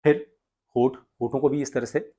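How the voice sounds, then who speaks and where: A man's voice: medium pitch, fairly even intonation, moderate pace, dark tone. A man from India presenting an instructional tutorial as a voice coach, explaining exercises step by step.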